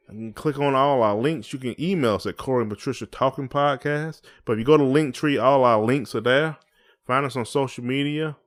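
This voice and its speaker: A man co-hosting a podcast in casual conversation, with a folksy, colloquial way of talking. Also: mid-range voice. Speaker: podcast co-host.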